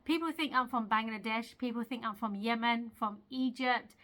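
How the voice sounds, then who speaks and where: A woman's voice: a little high, a bit bright. A young woman from Eritrea talking to camera in a casual, earnest vlog, chatty and self-laughing, asking the viewer questions. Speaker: video creator. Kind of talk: casual motivational talk to camera.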